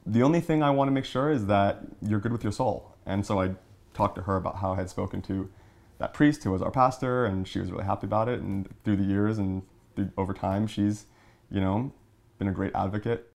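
A man's voice: fairly low pitch, a little fast, gravelly, darkish tone. A man recounting a personal story candidly and conversationally, often relaying dialogue as he goes. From Long Island, New York, United States.

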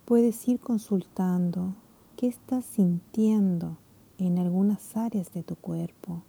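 Meditation guide, female: unhurried, very dark and mellow tone with some gravel at the phrase ends. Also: on the low side. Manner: soft, slow and soothing, trailing into whispers.

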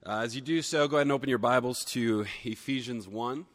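A man preaching a sermon, a little hoarse from a cold, earnest and building emphasis on key phrases. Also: mid-range voice, brisk, big-room sound. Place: church sanctuary.

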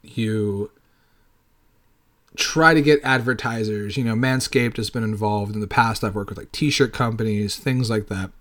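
A man's voice: on the low side, a little slow, fairly bright tone. A man in his late thirties hosting a podcast solo, talking casually in a rambling way and swearing freely. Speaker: podcast host.

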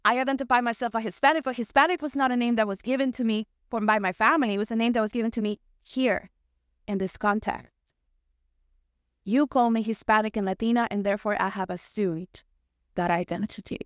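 A woman's voice: a little high, brisk, dry recording, studio quality. Salvadoran woman speaking to a church. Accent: Salvadoran Spanish. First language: Spanish. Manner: conversational, with frequent "like" and "right?". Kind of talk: personal talk to a congregation. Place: church sanctuary.

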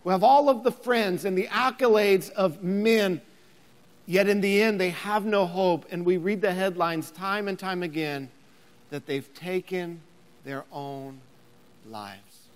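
Pastor, thirties, male; deliberate, rises to emphatic near-shouts, church hall reverb. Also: sits high. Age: 30s. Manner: builds to emphatic near-shouting, repeats words. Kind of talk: sermon.

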